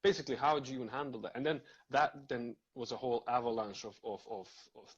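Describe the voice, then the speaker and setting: A man's voice: mid-range voice, fairly even intonation, quick pace, breathy edge, highs cut off. A man from Serbia, talking things through thoughtfully in a casual live video chat, with many fillers and restarts.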